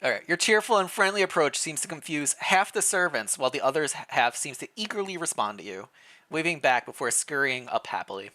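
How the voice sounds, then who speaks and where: Lively story-reading streamer, mid-pitched, bright voice, playful asides, expressive delivery. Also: brisk. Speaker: streamer, reader. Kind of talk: reading interactive fan fiction aloud on a live stream.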